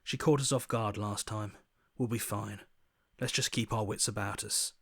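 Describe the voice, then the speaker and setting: An earnest hero, low-pitched. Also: male voice, fairly even intonation, normal speed, fairly bright tone, breathy edge, no room sound, clean studio sound. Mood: concerned, steadying. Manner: earnest, reasoning, concerned. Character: earnest, cautious heroic man, conscience-like inner voice. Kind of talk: dramatic video game voice acting.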